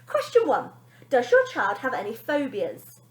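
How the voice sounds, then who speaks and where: A woman voicing a gushing, excitable male television producer in a children's story. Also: fairly high pitch, measured pace. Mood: excited. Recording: echoey room.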